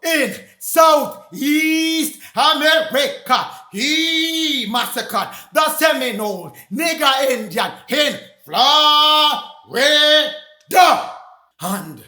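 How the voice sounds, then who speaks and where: A man preaching in a loud, sing-song shout, firing off rhetorical questions. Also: very high-pitched, animated, extremely slow, roomy sound.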